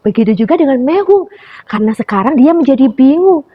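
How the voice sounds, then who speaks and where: Lively young woman telling a kids' story. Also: high voice, fairly quick. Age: young adult. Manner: animated, calls listeners "friends". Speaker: storyteller for preschool children. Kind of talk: children's storytelling. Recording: dull lo-fi recording.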